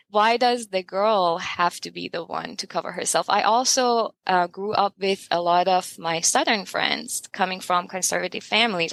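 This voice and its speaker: A young adult woman from Iran speaks as a podcast guest in a mid-range voice. She is animated and emphatic, laughs freely and drives her points home with rhetorical questions. The sound is close and dry, with no room echo. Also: medium pitch, creaky. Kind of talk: casual interview chat.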